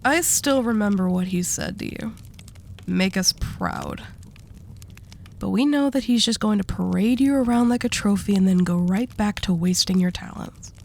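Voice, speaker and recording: A female mafia boss, acted by a woman, speaks at a medium pitch over clear background noise. She is composed and scheming, handing out condescending endearments in a seductive, teasing roleplay style. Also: normal speed, darkish tone, lightly acted. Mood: persuasive. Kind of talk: intimate romantic audio roleplay acting.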